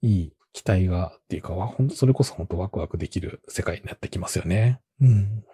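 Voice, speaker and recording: A man's voice: deep voice, quick pace, warm tone, slightly rough, dry recording, clean studio sound. A man hosting a podcast interview, chatting in a casual but polite way. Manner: frequent agreeing backchannels, casual polite.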